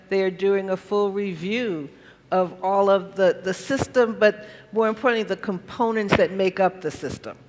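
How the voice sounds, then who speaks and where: A woman speaks from a panel, explaining an answer step by step. Her tone is matter-of-fact and mid-pitched. Long reverb from a large hall surrounds her voice.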